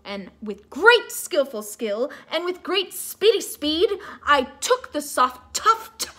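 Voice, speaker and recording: Very lively, high-voiced woman reading storytime. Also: slow. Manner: theatrical, playful, coaxing listeners to join in. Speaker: teacher reading a picture book to children. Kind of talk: animated children's storytime reading.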